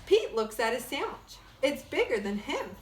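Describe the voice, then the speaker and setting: A woman reading a children's storybook aloud like a teacher, in simple, expressive phrasing for young listeners. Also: high voice, normal speed. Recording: echoey room.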